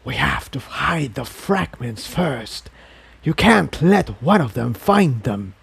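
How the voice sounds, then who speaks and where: A man's voice: medium pitch, expressive, slow pace, gravelly, fairly bright tone, breathy edge, exaggerated voice. Man doing a hissing, sinister voice in the head. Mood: secretive, urgent. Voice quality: hissing, whispery. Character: hissing sinister entity inside a dragon's head, impatient and commanding.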